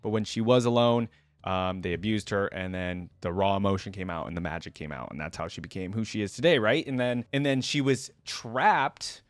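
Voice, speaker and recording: A man's voice: on the low side, normal speed, no echo. A man hosting a reaction video, giving casual, conversational commentary straight to his viewers. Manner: informal, conversational, addresses viewers directly.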